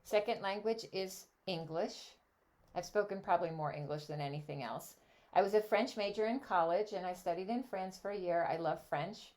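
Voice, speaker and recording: Older lady guest, native german speaker, slightly low voice, talks in long rambling asides. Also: moderate pace, a little mellow. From Switzerland. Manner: chatty, rambling, full of asides. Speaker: guest songleader and teacher. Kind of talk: casual video chat interview.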